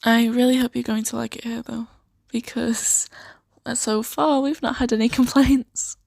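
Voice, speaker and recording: A woman improvising an ASMR roleplay as a kindly, earnest host of a fantasy sanctuary, speaking softly and reassuringly with some hesitation. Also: a little high, flat delivery, normal speed, vocal fry, dry recording. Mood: light, friendly. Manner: soft-spoken, gently hesitant, reassuring.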